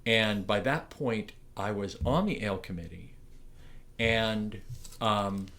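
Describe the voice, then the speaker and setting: A man's voice: lowish voice, takes it slowly. A middle-aged man from Ontario, Canada, recalling his years as a morris dance barker in a rambling interview, with many restarts and fillers. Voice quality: loud projecting voice. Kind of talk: reminiscing oral history interview.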